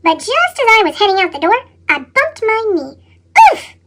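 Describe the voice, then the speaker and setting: A performer voicing a lively, animated chipmunk storyteller with a sped-up pitch effect, reading a children's picture book expressively and dramatically. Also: normal speed, heavy background noise, full character voice. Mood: grumpy. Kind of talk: read-aloud children's picture book narration.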